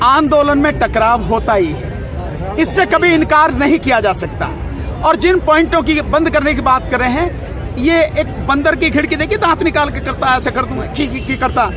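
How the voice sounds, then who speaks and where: A man giving a forceful, rhetorical interview at an outdoor protest, repeating his points for emphasis. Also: extremely high pitch, lively intonation, normal speed, low-quality recording. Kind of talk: impassioned street interview. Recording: loud crowd outdoors.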